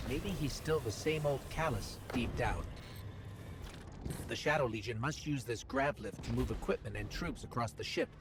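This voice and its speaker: An eager little robot sidekick from a video game, played by a man. He sounds earnest and conversational at a middling male pitch, with clear noise behind him. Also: moderate pace, lightly acted. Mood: alert. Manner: expressive, conversational, inquisitive. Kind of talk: video game companion dialogue.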